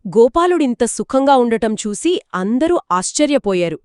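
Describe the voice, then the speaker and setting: A woman narrating a moral folktale in an expressive, lively storytelling manner. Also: fairly high pitch, normal speed, smooth, no echo, clean studio sound. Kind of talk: scripted two-voice folktale narration.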